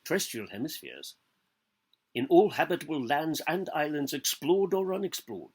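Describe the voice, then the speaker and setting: Male literary reader: husky, highly animated intonation, declamatory and deliberate, phrase by phrase. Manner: declamatory, deliberate, dwelling on lists and pausing between phrases. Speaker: actor giving a public literary reading. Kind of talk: theatrical reading aloud of literary prose.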